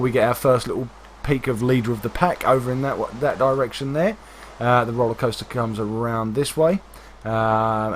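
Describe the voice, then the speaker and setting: A man hosting a game livestream, hoarse and congested from a cold, chatting casually with many fillers. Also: lowish voice, a little hiss or hum. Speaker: livestream host. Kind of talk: casual gameplay commentary.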